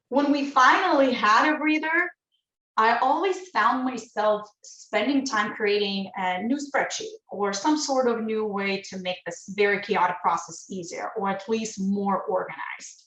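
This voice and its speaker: A woman from Lithuania speaks English with a noticeable Lithuanian accent, presenting a business webinar. Her delivery is earnest and a little halting, and she often restarts phrases. The room around her rings with echo. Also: medium pitch, a bit bright. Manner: earnest, halting, with self-corrections.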